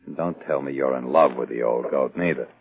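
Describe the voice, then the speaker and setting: A man's voice: very deep voice, brisk, phone-quality sound. An actor playing a cocky, scheming male crook in the manner of 1940s radio drama. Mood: sly, flirting. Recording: band-limited 1940s radio recording.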